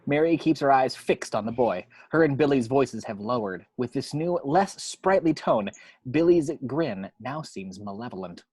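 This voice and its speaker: A man's voice: mid-range voice, animated, moderate pace, darkish tone, husky, no echo. A man narrating screenplay action lines at an amateur table read in a matter-of-fact storytelling way.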